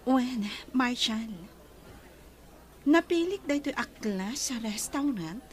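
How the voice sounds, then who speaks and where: An actress with an Ilocano accent playing a gentle young woman in love, speaking softly and earnestly. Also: on the high side, lively intonation, slow. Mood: tender.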